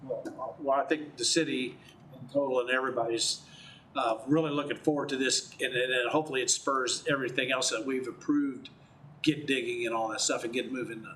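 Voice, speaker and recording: A man's voice: medium pitch, fairly even intonation, a little fast, sharp tone, slight background noise, poor audio. Casual, hesitant man council member. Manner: casual, hesitant with repeated words.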